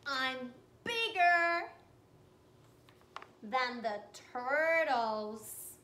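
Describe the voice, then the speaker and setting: A woman voicing a boastful, excitable giant squid from a picture book, in proud singsong declarations. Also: high voice, extremely slow, echoey room, slight background noise, voice pushed down, full character voice.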